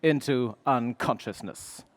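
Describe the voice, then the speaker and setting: A man's voice: mid-range voice, slow, slight background noise. A man narrating as a pompous, melodramatic announcer in 1930s radio style.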